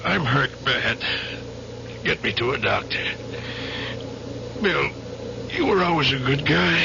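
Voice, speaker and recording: An American actor playing a frightened, accused ex-soldier in an old-time radio drama. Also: male voice, mid-range voice, animated, takes it slowly, gravelly. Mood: weak pleading. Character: frightened wounded man, accused war informer, pleading and defiant. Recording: dull, very noisy old radio recording.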